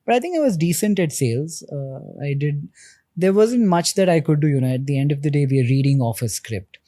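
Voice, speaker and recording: A man in his thirties with an Indian accent, a podcast guest explaining things in a measured way with frequent pauses. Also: on the high side, lively intonation, dark tone, no echo, studio quality. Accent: Indian English. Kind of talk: podcast interview.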